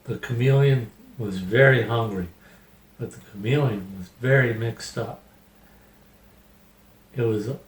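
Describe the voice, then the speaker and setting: A man's voice: medium pitch, a little mellow. A middle-aged man with dry, wry humor hosting a casual picture book reading and review. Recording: roomy echo, noticeable background hiss.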